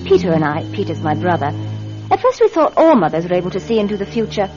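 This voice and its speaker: A woman's voice: fairly low pitch, wildly swooping pitch, fast, music in the background. A woman playing an earnest, insistent daughter in a radio drama, with a dramatic manner. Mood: reflective, storytelling. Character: eager, insistent daughter, narrating childhood and playing it. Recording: muffled, dull old radio drama sound.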